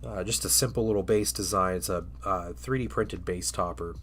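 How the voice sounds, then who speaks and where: A man's voice: low voice, moderate pace, fairly bright tone, a little hiss or hum. A man getting over a cold, chatting casually on a live stream while painting miniatures, rambling with many fillers and restarts.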